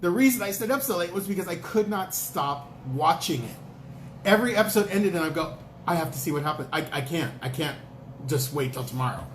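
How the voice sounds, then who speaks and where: Man, co-host, casual chat, fairly high voice, roomy echo. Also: lively intonation, fairly quick, slight background noise. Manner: chatty, playful, jumps into high-pitched exclamations.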